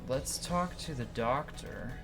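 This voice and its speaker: A man does casual live game commentary in a middle-pitched voice, with game music clearly playing behind him. He thinks out loud, reads bits of on-screen text and swears freely. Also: slow pace. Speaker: game streamer. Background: video game music.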